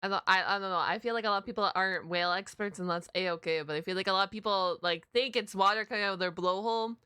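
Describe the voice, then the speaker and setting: A woman's voice: medium pitch, quick pace, bright, forceful tone, smooth, dry recording, studio quality. A woman giving casual, chatty reaction commentary in a rambling, informal, slangy way. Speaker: reaction video host.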